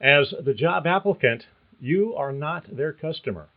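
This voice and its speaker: A man's voice: fairly high pitch, animated, a little slow, narrow, tinny audio. An older man, a career coach and podcast host, reading a scripted monologue in a folksy, reassuring tone.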